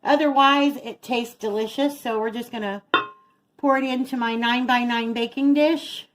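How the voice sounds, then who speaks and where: A woman's voice: a little high, fairly even intonation, moderate pace. A middle-aged woman chatting casually as she narrates each step of her home cooking as a vlog host. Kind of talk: casual chat while cooking. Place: home kitchen.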